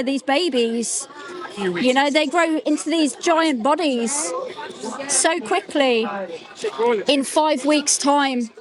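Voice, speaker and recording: A woman with a high, bright-sounding voice makes her case earnestly to a stranger, pressing gently with questions. She is recorded outdoors on a street, with a crowd chattering clearly behind her. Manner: earnest, persuasive, asks probing questions. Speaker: street interviewer. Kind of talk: street outreach conversation. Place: outdoors in a busy public street.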